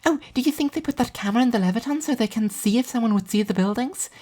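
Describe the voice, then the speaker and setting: A man's voice: very high-pitched, quick pace, darkish tone, voice pushed up. A man voicing an eager, fragile young woman who rambles confidently about conspiracies in an audio story. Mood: excitedly speculating.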